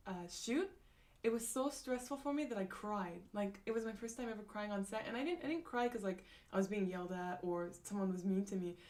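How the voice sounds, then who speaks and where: A woman's voice: medium pitch, a little mellow. A young woman chatting casually on a live stream, reading out comments with lots of fillers, restarts and laughs. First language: not Japanese.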